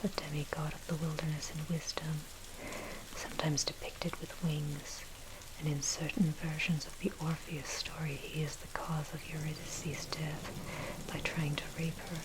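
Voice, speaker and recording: Woman whispering an asmr history reading, low and breathy, lots of rain noise behind her. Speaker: narrator. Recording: steady loud rain noise over voice. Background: rain and thunder.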